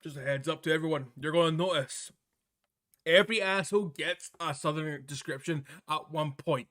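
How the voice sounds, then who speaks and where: Bright-voiced man joking on a game stream. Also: fairly high pitch, moderate pace. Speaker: streamer playing and voicing a visual novel. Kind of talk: live game stream, casual commentary.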